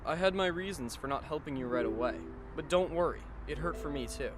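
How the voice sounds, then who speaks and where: A man playing a wry, resigned high school boy in an anime fan dub, speaking in a dry, reflective way. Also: lively intonation, a little fast, background noise, lightly acted. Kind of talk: anime fan dub dialogue and inner monologue.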